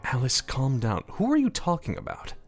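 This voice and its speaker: A man playing a weary, irritable mayor who narrates an audio drama with deadpan, grumbling sarcasm. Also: medium pitch, normal speed, soft background music. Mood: tired annoyance. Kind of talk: first-person scripted narration with dialogue, audio drama.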